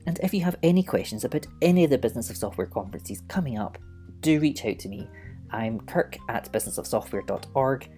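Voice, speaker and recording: Mellow-voiced male podcast host. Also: medium pitch, expressive, fast talker, soft background music. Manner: upbeat, punny announcer. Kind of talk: scripted podcast intro.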